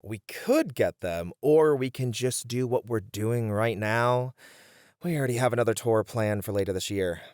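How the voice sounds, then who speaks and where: A man's voice: medium pitch, moderate pace, darkish tone, no echo, close to an everyday voice. A man playing a responsible, exasperated band manager in a scripted roleplay, talking in a dry, scolding manner. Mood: dry, practical.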